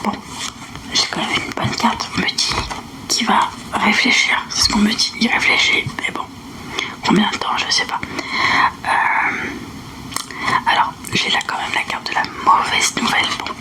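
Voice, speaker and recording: Female ASMR roleplayer as a clairvoyant: breathy whisper, blunt, repetitive delivery. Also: medium pitch, level tone, normal speed, low-quality recording. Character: unhinged clairvoyant woman taking phone consultations. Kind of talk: soft-spoken ASMR fortune-teller roleplay.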